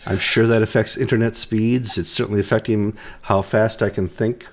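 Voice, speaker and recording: A middle-aged man hosting a casual news chat over a video call, joking and quick with asides, his voice deep from a cold he is getting over. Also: steady tone. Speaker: host. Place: home room, video call.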